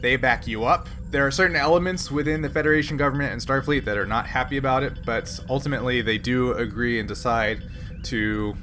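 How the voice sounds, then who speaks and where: A man runs a tabletop game, narrating outcomes in a relaxed, explaining tone. His voice sits in a middle range. The recording carries a clear hiss of noise. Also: mid-range voice, a little fast. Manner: relaxed, explaining, laughs easily. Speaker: game master.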